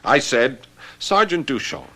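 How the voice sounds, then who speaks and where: A man's voice: medium pitch, very animated, unhurried, sharp tone, heavily acted. A man acting in a radio drama as a jealous, ambitious young gendarme, earnest and dramatic in delivery. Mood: earnest, eager.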